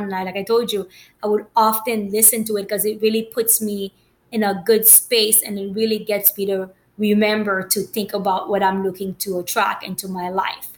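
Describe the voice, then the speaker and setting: A woman's voice: medium pitch, fairly bright tone. A woman from Haiti talking casually about her personal journey, rambling with many fillers and restarts.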